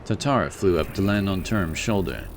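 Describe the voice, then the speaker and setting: A man's voice: low-pitched, expressive, moderate pace, slight background noise. A man giving scripted narration for a fantasy audiobook, in the role of storyteller.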